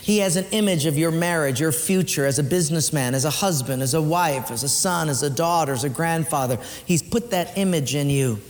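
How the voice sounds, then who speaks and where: A man preaching an earnest sermon as pastor in a church, swinging between lifted emphatic lines and hushed ones, then leading a prayer. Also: a little high, in a large hall, a little hiss or hum.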